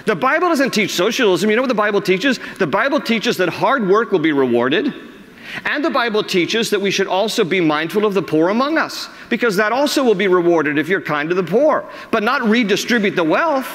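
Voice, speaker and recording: A man's voice: high voice, big pitch swings, a little fast, a bit bright. A man preaching an emphatic sermon as pastor, reading quotes aloud from the pulpit. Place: large church sanctuary. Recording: long hall reverb.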